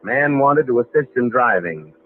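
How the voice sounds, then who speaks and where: An actor with an American accent playing a wry, slangy young drifter in a vintage radio drama, both narrating and speaking in scenes. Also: male voice, mid-range voice, animated, normal speed, natural voice. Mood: wry, confiding. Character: streetwise, down-on-his-luck young drifter telling his story. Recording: tinny, noisy old radio broadcast recording.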